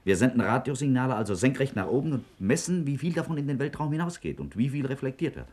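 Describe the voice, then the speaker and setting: An actor playing a confident lead scientist in a 1950s German radio drama, explaining things in a deliberate, didactic way. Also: male voice, medium pitch, very animated, darkish tone, natural voice. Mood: brisk, focused.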